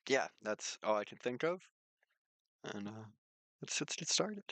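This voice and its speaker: A man's voice: medium pitch, big pitch swings, measured pace, a bit bright, no room sound. A man streaming his own visual novel, switching between read-aloud narration and informal asides.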